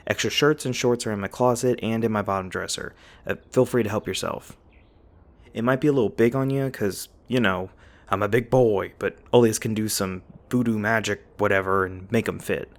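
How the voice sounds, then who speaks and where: A big himbo werewolf acted out by a man with a lowish male voice. He is easygoing and friendly, rambling eagerly to the listener in an ASMR roleplay, a bit slow on the uptake. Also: vocal fry. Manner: rambling, casual, eager, slightly dim.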